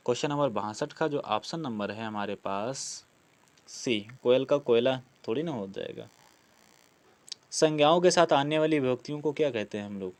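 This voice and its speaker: A man's voice: medium pitch, a little mellow. A man teaching a grammar lesson, explaining practice questions step by step, asking questions and answering them himself. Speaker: Hindi grammar teacher for exam preparation.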